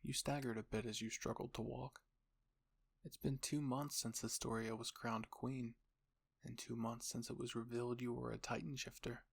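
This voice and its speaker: Casting: male storyteller, mid-pitched, gravelly edge, scripted second-person narration for audio roleplay. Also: level tone, normal speed.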